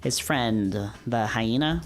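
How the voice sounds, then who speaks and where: A man's voice: mid-range voice, slow pace, faint music behind. A man streaming a casual read-aloud of visual novels, playfully breaking off into jokey, questioning asides.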